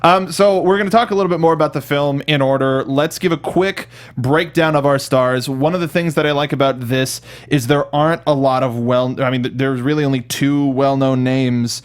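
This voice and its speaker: A man's voice: mid-range voice, steady tone, moderate pace, few pauses, clear, resonant tone. A man co-hosting a film review show, chatting casually and conversationally, sometimes acting out imagined lines. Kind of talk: casual chat breaking down a movie.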